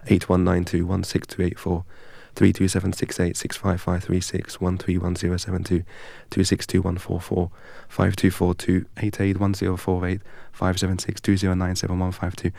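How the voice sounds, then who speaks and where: Guy from england, really low and steady voice, barely moves in pitch, dry studio sound. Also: vocal fry, no room sound. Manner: measured and deliberate, recites long strings of numbers evenly. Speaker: interview guest. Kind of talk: reflective storytelling in an interview.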